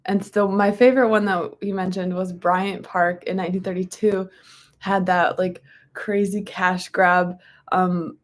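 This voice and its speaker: Hoarse young woman, casual and rambling, reading a book aloud between chatty asides. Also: on the low side, flat delivery, a little slow. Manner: chatty, rambling, frequent ums and likes.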